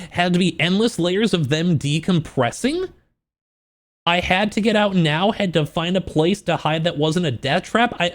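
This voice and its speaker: A man livestreaming in a casual, slangy, joking way, with an animated, incredulous manner as he reacts. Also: a little high. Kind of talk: casual livestream reaction with book read-outs.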